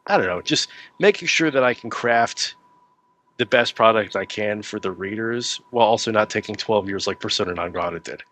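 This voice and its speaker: A man's voice: on the low side, normal speed, fairly bright tone. A man speaking casually as a novelist guest in a podcast interview. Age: young adult to middle-aged, past 28.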